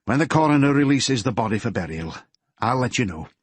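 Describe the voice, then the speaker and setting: Man doing a courteous male detective, measured, gently firm, husky, mid-pitched. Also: lively intonation, no room sound. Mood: calm, sympathetic. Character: male police detective, calm, courteous, sympathetic. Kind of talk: audiobook character voice.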